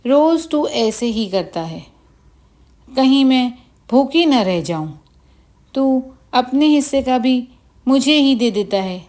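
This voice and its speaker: A woman's voice: high-pitched, expressive, slow, long pauses, darkish tone. A woman voicing a sick, weary village mother in a children's story, lamenting her lot plaintively. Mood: sad, grateful. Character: sick, weary, self-pitying poor old village mother.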